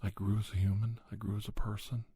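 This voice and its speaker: A middle-aged man giving soft-spoken, encouraging, folksy advice in an ASMR role-play, often slipping into a whisper. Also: lowish voice, level tone, fast, slightly rough, no room sound. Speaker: podcast host playing an advice-giving doctor. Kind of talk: ASMR, one-sided role-play conversation.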